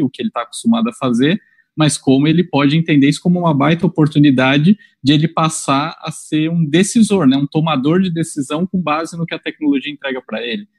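Man with a husky voice, dry close sound, measured pace. Cast him as a video call host asking questions. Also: fairly high pitch, darkish tone, no room sound. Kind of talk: casual chat.

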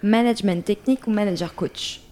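A woman interviewing a guest in a casual chat, firing quick questions and answering with short encouragement. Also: mid-range voice, a little mellow. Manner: quick rapid-fire questions, encouraging replies.

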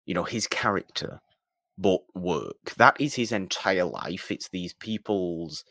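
Low, slow-talking man discussing film. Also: expressive, bright, forceful tone, no room sound, clean studio sound. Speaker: film podcast co-host.

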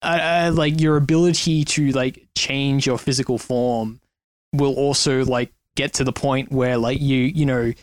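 A man's voice: medium pitch, fairly even intonation, dry recording. A man with an ordinary mid-range voice, recorded dry and close, explains ideas as a podcast guest. He speeds through points in bursts and pads them with "like" and "um.". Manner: speaks in quick bursts, heavy use of "like" and "um". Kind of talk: casual conversational discussion.

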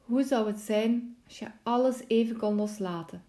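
A woman leading a guided relaxation meditation as a yoga nidra instructor, giving calm, soothing, measured instructions. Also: fairly high pitch.

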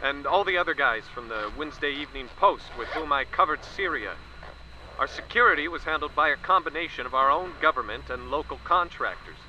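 An actor playing a seasoned male war correspondent reporting live, plain-spoken with halting restarts. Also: on the high side, lively intonation, close to an everyday voice. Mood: calm. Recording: loud vehicle and wind noise.

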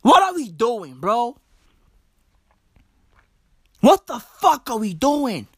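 Woman comic, high voice, slow deliberate delivery, profane slangy rant, dry close sound. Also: very animated, breathy edge, no room sound. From South Africa. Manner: emphatic, profane, slang-heavy, repeats lines for punch. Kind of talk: stand-up comedy rant.

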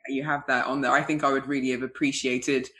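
A man's voice: medium pitch, level tone, brisk, pushed and bright, low-quality recording. A man from Nigeria and the UK chatting casually as a podcast co-host. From Nigeria and, from age eight, the UK. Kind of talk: casual conversational interview.

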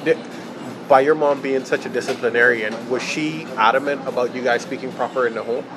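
A man's voice: mid-range voice, brisk, loud music behind the voice. Stammering male interviewer. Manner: brief backchannels, stammering restarts. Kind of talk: casual interview talk. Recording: steady background noise.

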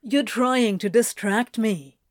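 A woman's voice: a little high, takes it slowly, no echo. A woman playing a teasing, amused male exercise instructor in an audio fiction reading, playful and coaxing but firm. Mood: playful, knowing.

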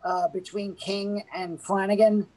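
A man co-hosting a casual movie podcast, relaxed and knowledgeable, talking with frequent fillers. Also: sits high, measured pace, low-quality recording. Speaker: podcast co-host. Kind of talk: casual movie review chat.

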